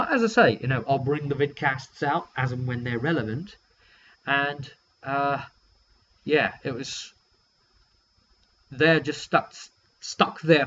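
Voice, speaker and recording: A British man with a mid-pitched voice tells the story of a tabletop roleplaying session in a loose vlog style. He rambles and hesitates as he goes. The sound is slightly dull, with a faint hum underneath. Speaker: tabletop game master recapping sessions.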